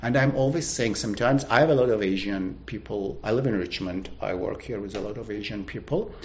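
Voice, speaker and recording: A middle-aged man from Azerbaijan, whose first language is Azerbaijani, speaks in a fairly low voice, pausing to find words and restarting his sentences. The recording is dull and muffled, with a faint hiss underneath. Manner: halting, searching for words, frequent restarts. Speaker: guest. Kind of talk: casual conversation.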